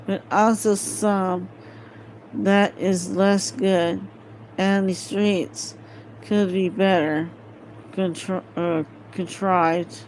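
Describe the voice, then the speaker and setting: A woman's voice: fairly low pitch, a little mellow, low-quality recording. A woman reading a fantasy novel aloud as an amateur, hesitant and often stumbling over words. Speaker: amateur audiobook reader. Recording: home recording with faint background noise.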